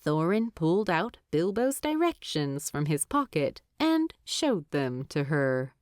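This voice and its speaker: A woman narrating a story from a script in an expressive storytelling style. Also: deep voice, takes it slowly, no room sound, studio quality.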